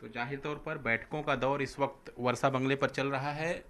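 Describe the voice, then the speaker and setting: Man, TV news reporter, bright forceful tone, medium pitch, formal field reporting and interviewing. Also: steady tone, normal speed.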